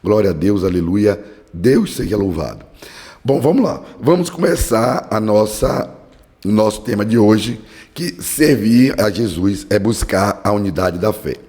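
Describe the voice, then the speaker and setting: Hoarse low-voiced preacher. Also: male voice, lively intonation, measured pace, darkish tone. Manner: earnest, fervent preaching cadence. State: hoarse from a cold. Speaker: Bible study podcast host. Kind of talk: devotional teaching with prayer. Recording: long hall reverb.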